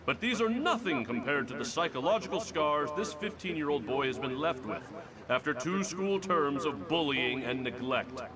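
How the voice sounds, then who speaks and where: A man's voice: a little high, lively intonation, normal speed, close to an everyday voice. Guy doing a folksy american politician in a comic, slick and patronizing bully, crowd murmur behind him. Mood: pompous. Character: folksy, manipulative middle-aged male senator, bullying beneath charm. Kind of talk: motion comic voice acting.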